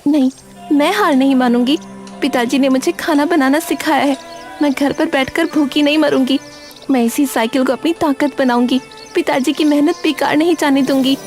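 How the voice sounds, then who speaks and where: Woman doing devoted young daughter in a cartoon, super high voice, earnest n emotional, bit of music behind. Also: moderate pace, darkish tone, natural voice. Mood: determined. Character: devoted young daughter, grieving yet determined. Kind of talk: Hindi cartoon drama acting.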